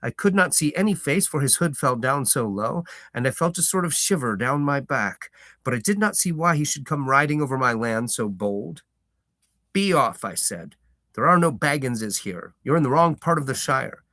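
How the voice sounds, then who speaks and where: A man voicing a proud, stubborn old hobbit farmer who tells his tale with slow relish and rustic grammar. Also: mid-range voice, expressive, pushed and bright, dry recording, clean studio sound, pitched above the performer's own voice. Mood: indignant. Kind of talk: reading a novel aloud.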